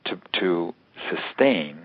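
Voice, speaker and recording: An older American man giving considered, analytical interview answers in a halting, deliberate way, repeating words for emphasis. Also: deep voice, expressive, husky, no echo. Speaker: interview guest, author and security analyst. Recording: narrow tinny phone line.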